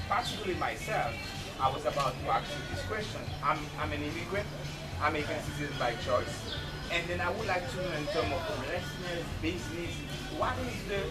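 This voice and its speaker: Man, Haitian accent, high pitch, audience speaker, repetitive roundabout phrasing, distant mic, loud music behind. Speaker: audience member, local party committee member. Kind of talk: impromptu question and comment at a political meeting. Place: public meeting, recorded at a distance.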